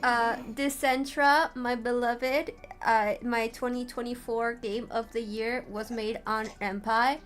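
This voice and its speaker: A woman's voice: a little high, fairly even intonation, slow pace, few pauses, a bit bright, soft background music. A woman talking informally as a streamer teaching a tutorial, chatty and often checking in with her listeners. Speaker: streamer teaching how to make visual novels. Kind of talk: casual livestream tutorial talk.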